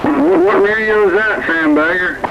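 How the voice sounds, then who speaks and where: A man's voice: sits high, very animated, normal speed, gravelly, dull audio, very noisy. A man making casual conversation as a hobbyist over two-way radio.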